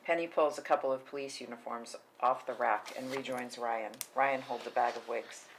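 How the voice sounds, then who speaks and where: A woman with an extremely low-pitched voice narrates screenplay directions at a table read. Her delivery is scripted narration. There is faint noise in the recording. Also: fairly even intonation, moderate pace, poor audio. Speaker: narrator reading stage directions.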